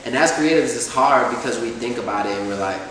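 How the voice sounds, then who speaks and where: A man's voice: medium pitch, quick pace, slight background noise. Young male rapper, loose conversational delivery, recorded far off in a reverberant room. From Atlanta, USA. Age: 20s. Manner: relaxed, rambling, lots of fillers. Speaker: rapper, guest. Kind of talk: casual sit-down interview. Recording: echoey room, distant mic.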